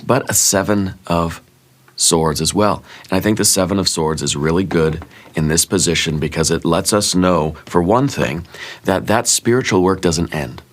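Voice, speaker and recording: Soft-spoken deep-voiced man, congested. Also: moderate pace. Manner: musing, gently reassuring, thinks aloud with fillers. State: congested, sore throat. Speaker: tarot reader. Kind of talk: soft-spoken ASMR tarot reading.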